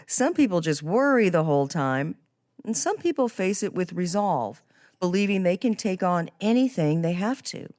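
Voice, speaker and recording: A woman's voice: lowish voice, sing-song, moderate pace, darkish tone, no room sound, clean studio sound. A woman hosting a podcast interview, talking conversationally about a book and faith. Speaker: podcast host.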